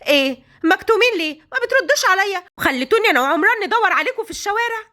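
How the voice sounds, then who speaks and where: A shrill, scolding young Egyptian woman, as a woman puts her on. Her voice jumps high and loud, and the pitch swings widely. It is from a storybook telling. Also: lively intonation, racing, full character voice. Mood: furious. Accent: Egyptian Arabic.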